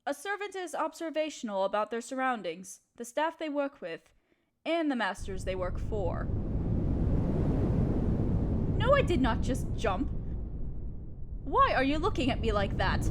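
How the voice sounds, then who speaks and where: A woman playing a kind, proper young noble mistress in an intimate audio roleplay, speaking in formal, gently hesitant phrases to her listener. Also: sits high, very noisy. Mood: fond, instructive, then flustered, indignant.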